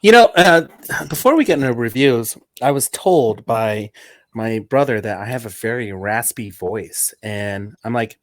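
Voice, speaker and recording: A man's voice: mid-range voice, lively intonation, a little slow, no room sound. A man co-hosting a casual movie podcast, joking and teasing in loose banter. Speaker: podcast co-host.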